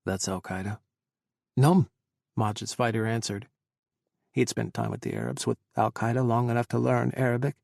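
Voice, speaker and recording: Gravelly guy reading an audiobook, dry close sound, steady pace. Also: mid-range voice, brisk, no echo. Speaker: audiobook narrator. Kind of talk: scripted audiobook narration.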